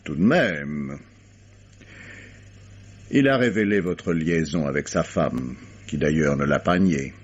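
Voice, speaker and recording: A man playing an investigating judge who questions a suspect in a measured, dry way, in a dull lo-fi old radio drama. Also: rumbling low, lively intonation, husky, full character voice. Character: calm, probing male investigating judge.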